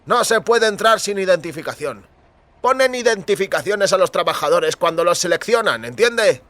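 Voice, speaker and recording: An actor playing a chatty small-town taxi driver in an audio drama, wary but helpful, with an emphatic, confiding delivery. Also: male voice, high voice, expressive, moderate pace, fairly bright tone, no room sound. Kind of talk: audio fiction dialogue in Spanish.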